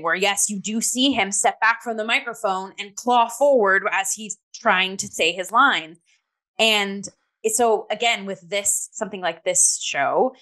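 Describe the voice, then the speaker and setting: A woman's voice: medium pitch, fairly bright tone. A woman co-hosting a review podcast, talking casually in an emphatic, reflective way. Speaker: theatre review podcast co-host.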